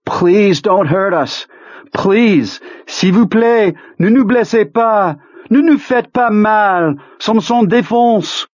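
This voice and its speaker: A man's voice: high-pitched, steady tone, normal speed, few pauses, darkish tone, dry recording, highs cut off, voice pushed up, lightly acted. A man reading an audiobook, voicing a terrified man who pleads and cries out desperately for mercy. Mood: panicked begging. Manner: pleading, crying out.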